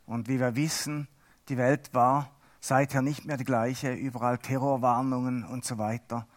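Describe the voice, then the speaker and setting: A middle-aged Swiss man whose first language is Swiss German, giving a chatty, halting lay sermon with self-mocking humor. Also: mid-range voice, fairly even intonation. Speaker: lay preacher.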